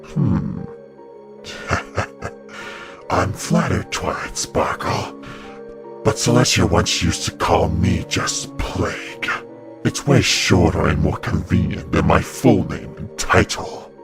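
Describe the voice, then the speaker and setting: A man's voice: deep voice, lively intonation, slow pace, gravelly, over music, heavily acted. A man playing an evil ancient winged serpent spirit in a cartoon comic dub, gloating and mocking with theatrical delivery.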